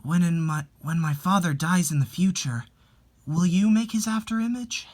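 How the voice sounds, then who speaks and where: A man's voice: high voice, normal speed, darkish tone, voice pushed up, lightly acted. A man voicing a timid, pleading young wife in a dramatic reading, earnest and imploring. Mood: timid, hopeful.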